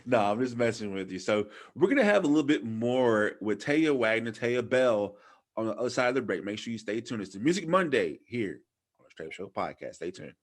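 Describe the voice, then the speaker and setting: A man's voice: medium pitch, big pitch swings, fairly quick. A man in his thirties from Louisiana hosting a casual interview in an informal, slangy, effusive way. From Louisiana, United States. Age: 30s. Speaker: podcast host, former DJ.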